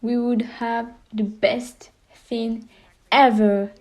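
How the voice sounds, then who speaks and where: A woman's voice: a little high, flat delivery, very slow, a little mellow. A woman with a girlish voice hosting a fan video, chatting nervously and enthusiastically, with frequent giggles. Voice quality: girlish, childlike voice. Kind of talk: casual fan commentary.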